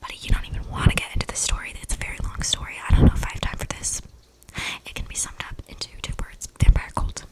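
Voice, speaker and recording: A woman's voice: quick pace, close to an everyday voice, rough recording. A woman playing an eccentric, chatty young vampire in a whispered, slangy ASMR roleplay, breathing close to the microphone. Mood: rambling, confiding. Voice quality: breathy whisper with creaky edges. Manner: rambling, casual slangy delivery with many likes. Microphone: close microphone with audible breathing.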